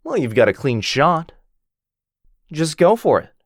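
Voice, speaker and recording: A man's voice: fairly high pitch, very animated, measured pace, no echo, clean studio sound, heavily acted. A man voicing a cocky, jealous werewolf who mocks his rival and teases with a lot of bounce. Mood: taunting. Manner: cocky, mocking, bouncy teasing. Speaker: voice actor in boyfriend roleplay. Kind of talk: ASMR roleplay acting.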